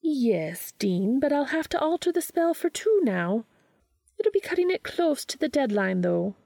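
A woman's voice: high-pitched, big pitch swings, unhurried, gravelly, warm tone, dry recording, clean studio sound, pitched above the performer's own voice, exaggerated voice. A woman voicing a haughty, exasperated centuries-old witch with an arch, scolding manner in a story reading. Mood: annoyed.

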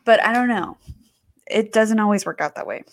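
A woman in her twenties co-hosting a podcast, chatting casually with lots of fillers and quick bursts of speech. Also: medium pitch, gravelly, no room sound. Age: 20s. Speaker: podcast co-host. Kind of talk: casual chat.

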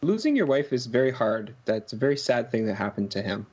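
A man's voice: medium pitch, very animated, fairly quick, darkish tone, slightly rough. A man co-hosting a podcast in casual comic banter, asking mock-indignant questions. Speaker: podcast co-host. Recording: slightly dull, lo-fi sound.

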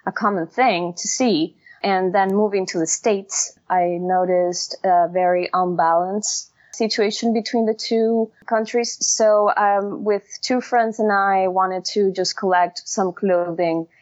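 A woman's voice: medium pitch, fairly even intonation, slow pace, hardly any pauses, dry recording, muffled recording. A woman from Venezuela with a Venezuelan Spanish accent, answering interview questions as a founder, speaking hesitantly with many fillers and restarts. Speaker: company founder and guest.

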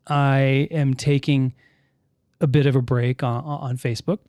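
A man's voice: medium pitch, flat delivery, a little slow, a little mellow, no echo, studio quality. A man hosting a casual live podcast, rambling and self-correcting as he reads listener chat comments aloud. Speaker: podcast host. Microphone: studio mic with headphones.

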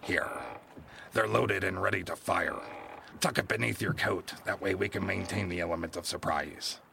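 A man playing a gruff, scheming captain of an old sailing ship in an audio drama, with commanding, salty seafaring speech. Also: sits low, fairly even intonation, normal speed, background noise. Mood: grave, scheming. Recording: loud ship and sea ambience behind.

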